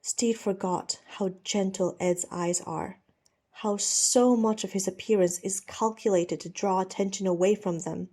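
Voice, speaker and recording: A woman narrating fiction as an audiobook reader, measured and expressive, with a dramatic storytelling style. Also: mid-range voice.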